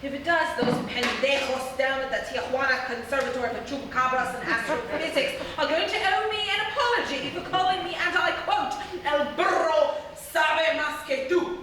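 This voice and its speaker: An actress playing a proud, indignant scientist professor in a live comedic radio play. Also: female voice, high-pitched, normal speed, hardly any pauses, natural voice. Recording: echoey live theatre from far off, clear noise.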